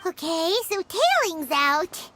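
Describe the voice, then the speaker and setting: A woman voicing a tiny, childlike, excitable fairy companion in a video game, with a squeaky voice. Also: slow, background noise, heavily acted. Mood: curious. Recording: loud music behind. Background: music.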